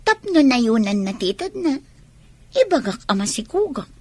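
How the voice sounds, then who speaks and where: A demanding young ilocano student in a radio drama, a bit raspy and high, self-centred. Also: female voice, big pitch swings, normal speed, darkish tone. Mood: fretful. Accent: Ilocano Filipino.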